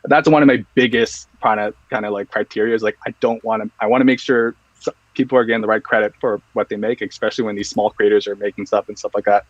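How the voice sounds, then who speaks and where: A man's voice: on the low side, steady tone, fast talker, dry recording, lo-fi sound. A man guesting on an interview, talking casually and loosely with lots of restarts and fillers. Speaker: guest, EDC accessory reviewer.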